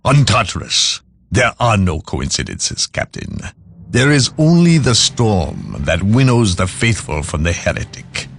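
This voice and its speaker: An actor playing a severe, haughty inquisitor in a video game, with a gravelly voice and imperious, theatrical delivery. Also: male voice, lowish voice, wildly swooping pitch, a little slow, slight background noise, full character voice. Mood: cold, imperious. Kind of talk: dramatic video game voice acting.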